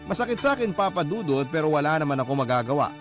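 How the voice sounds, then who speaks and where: A man's voice: a little high, big pitch swings, fast talker, over music. A man with a Filipino accent reading a scripted first-person narration for a radio drama.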